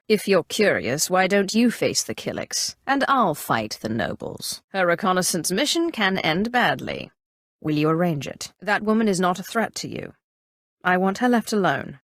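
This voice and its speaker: An imperious female Sith inquisitor from a video game, speaks in a fairly low register. She is cool, calculating and haughty, giving formal, measured lines like someone used to command. The sound is very dry, with no room on it. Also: no echo. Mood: measured, persuasive. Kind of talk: scripted video game character dialogue.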